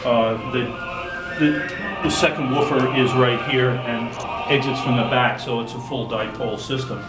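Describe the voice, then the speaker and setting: A man explaining a product in an interview, halting and matter-of-fact. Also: mid-range voice, steady tone. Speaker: loudspeaker maker. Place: exhibit room at an audio show. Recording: echoey room, music behind.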